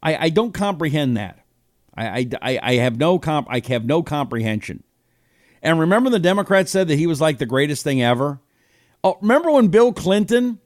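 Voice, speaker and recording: A man's voice: on the high side, big pitch swings, moderate pace, no echo. American man in his fifties, dry close sound. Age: 50s. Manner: rambling, conversational, rhetorical questions. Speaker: host of a political talk show. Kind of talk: casual live commentary, reading listener comments.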